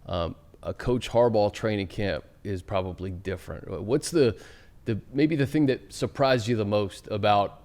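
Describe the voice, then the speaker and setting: A man's voice: on the low side, moderate pace, few pauses, a little mellow. A man hosting a team sports podcast, asking questions in a loose, conversational way. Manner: conversational, hedging questions with fillers. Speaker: podcast host. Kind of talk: interview questions.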